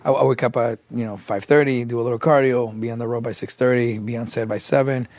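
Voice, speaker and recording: Raspy, tired middle-aged man hosting a laid-back podcast, rambling with lots of filler words. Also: medium pitch, steady tone, quick pace, a little mellow. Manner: rambling, filler-heavy storytelling. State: tired after long day of meetings. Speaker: podcast co-host. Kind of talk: casual chat.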